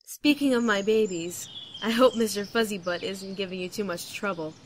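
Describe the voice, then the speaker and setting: A woman's voice: mid-range voice, moderate pace, lightly acted. A woman playing an earnest young student in an audio drama, confiding in a natural, conversational way. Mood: wistful. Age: young adult. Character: earnest young pregnant student, wistful, determined. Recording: faint outdoor noise, birdsong.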